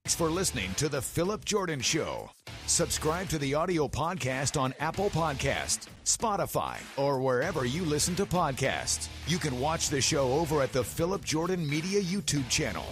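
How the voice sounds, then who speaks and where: A male announcer gives a polished podcast outro in a fairly high, lively voice. Clear music plays under the voice-over. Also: normal speed. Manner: polished, upbeat announcer read. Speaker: show announcer.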